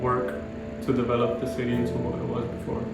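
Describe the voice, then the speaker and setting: A young man in his twenties from the Dominican Republic, explaining things in a measured way in a documentary interview. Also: mid-range voice, completely flat delivery. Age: 20s. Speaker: planning graduate and local resident. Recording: very echoey room with music behind.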